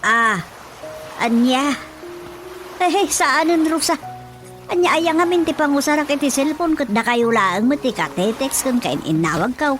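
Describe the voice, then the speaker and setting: Worried ilocano mom in a radio drama, highish plaintive voice, bit of music behind. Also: female voice, animated, unhurried, fairly bright tone. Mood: protesting. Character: Rosa's mother, a fretful, thrifty rural wife.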